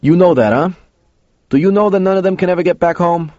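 Man acting an insistent, cautioning older guy in a story, pitched up, muffled roomy sound. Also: normal speed, darkish tone, close to an everyday voice. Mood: probing, skeptical. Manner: pointed, insistent questioning. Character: regular diner customer, probing, cautioning older man.

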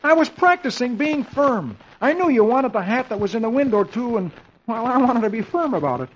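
A man's voice: extremely high pitch, sing-song, a little fast, pitched above the performer's own voice, full character voice. A man voicing a henpecked comic-strip husband who tries to sound stern, with blustering, emphatic delivery. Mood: apologetic. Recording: dull old radio recording, clear noise.

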